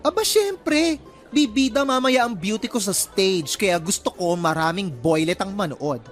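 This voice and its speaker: A man's voice: high voice, lively intonation, unhurried, soft background music. A man playing a flamboyant, sassy college theater student in a radio drama, with a campy, playful, swooping delivery. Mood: boastful, sassy. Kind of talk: Filipino radio drama acting.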